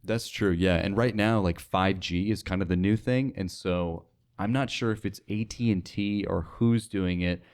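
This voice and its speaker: A man's voice: sits low, fairly even intonation, normal speed, darkish tone. A young man in a casual podcast chat, speaking informally and wondering aloud. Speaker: podcast guest, radio sales associate.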